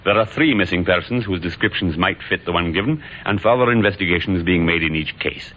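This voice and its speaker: An actor playing a police officer delivering a formal official report in an old radio drama. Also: male voice, low voice, a little fast. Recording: dull, noisy old radio recording.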